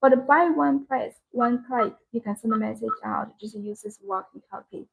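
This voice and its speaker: A woman with a high-pitched, creaky voice gives a live sales demonstration. She speaks English as a second language, in simple phrases that she often repeats. Her tone has a husky edge. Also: normal speed, low-quality recording. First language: not English. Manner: repetitive, simple non-native phrasing. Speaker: live-stream sales presenter.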